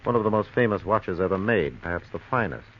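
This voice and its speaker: An actor playing a self-assured American schemer near sixty in an old radio crime drama, suave and sly. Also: male voice, low-pitched, lively intonation. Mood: scheming, confident. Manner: suave, sly, unhurried explaining. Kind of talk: 1940s radio drama acting. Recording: dull, hissy vintage radio recording.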